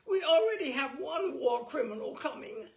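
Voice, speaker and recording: A woman voicing a gushing high-society hostess, loud and dramatic. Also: big pitch swings, normal speed, echoey room, far from the mic. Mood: gushing, alarmed.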